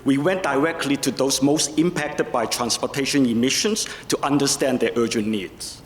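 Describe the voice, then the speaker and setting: A man's voice: mid-range voice, moderate pace. A man giving a plain, explanatory civic presentation. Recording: roomy hall reverb, faint noise.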